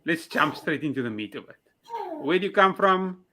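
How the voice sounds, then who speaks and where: A man's voice: fairly high pitch, animated, normal speed, pushed and bright, a little hiss or hum. A man hosting a live-streamed interview show, reading an upbeat welcome and asking his guest questions. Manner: upbeat, scripted call to action. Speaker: show host. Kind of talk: podcast host intro and questions.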